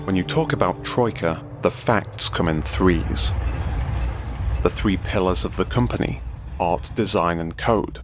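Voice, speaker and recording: Male documentary narrator, low register, reading a prepared script over prominent music. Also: measured pace.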